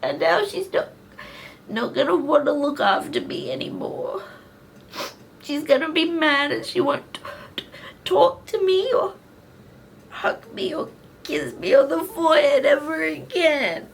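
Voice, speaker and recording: A woman's voice: sits high, expressive, slow pace, creaky, slight background noise, voice pushed up, full character voice. A woman voicing a tearful grown woman regressed into a childlike little girl, sobbing and pleading.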